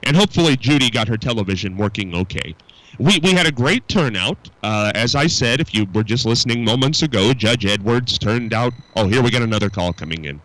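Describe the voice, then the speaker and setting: Lively, chatty male radio host. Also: medium pitch, fairly quick, low-quality recording. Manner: rambling, chatty, with many fillers and restarts; upbeat and folksy. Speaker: radio call-in show host. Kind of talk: casual call-in chat. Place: radio studio.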